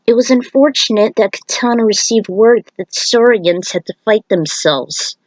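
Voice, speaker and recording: A woman's voice: a little high, moderate pace, dry recording, rough recording. Dry-sounding woman narrating a story.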